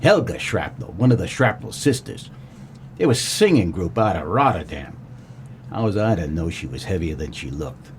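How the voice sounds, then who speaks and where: A man playing a wry, deadpan, world-weary private eye in a comedic noir radio play. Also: sits low, normal speed, slight background noise. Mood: deadpan narrating. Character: wisecracking world-weary private detective, grown son of a crook.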